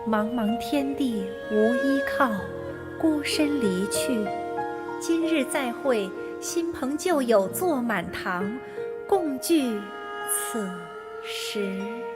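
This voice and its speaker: A woman's voice: a little high, animated, slow. A woman performing as a stately Chinese opera singer in a video game, theatrical, stretching out each syllable. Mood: solemn, lyrical. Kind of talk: stylized Chinese opera recitation and singing. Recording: loud instrumental music over voice.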